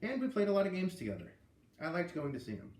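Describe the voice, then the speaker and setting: A man's voice: high-pitched, wildly swooping pitch, quick pace, darkish tone, echoey room. A man with an American accent reading a children's book aloud, with casual asides. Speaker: read-aloud reader.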